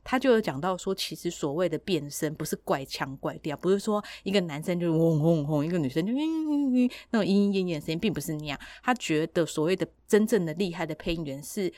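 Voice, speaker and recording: A woman with a Taiwanese accent chatting casually and colloquially as a podcast host, explaining things in an animated way. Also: lowish voice, fast talker, hardly any pauses, no echo. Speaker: podcast host and audiobook producer.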